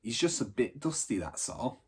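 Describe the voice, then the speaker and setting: A man plays an earnest young street lad in a children's adventure story. He sounds practical and matter-of-fact, chatty in his wording, with a husky tone. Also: medium pitch, very animated, moderate pace.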